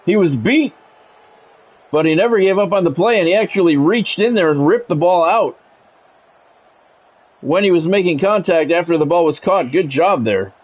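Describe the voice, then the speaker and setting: A man's voice: high-pitched, lively intonation, normal speed, pauses often, dull audio. A man doing football play-by-play for a video game stream in a conversational, blunt way. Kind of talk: football play-by-play commentary with casual reactions.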